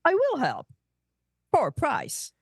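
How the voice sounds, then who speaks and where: A woman's voice: high-pitched, huge pitch swings, slow pace, creaky, darkish tone, no echo, studio quality, voice pushed up, heavily acted. Woman playing a sardonic, mercenary alien aunt, swooping intonation. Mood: shrewd, sardonic. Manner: sardonic, cutting. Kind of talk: table read of animated sci-fi comedy script.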